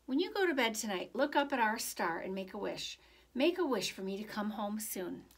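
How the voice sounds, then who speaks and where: A woman voicing a gentle, reassuring father who soothes and explains in a read-aloud storybook. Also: mid-range voice, sing-song, normal speed, slightly rough. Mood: affectionate. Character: gentle, reassuring young father, a soldier leaving home.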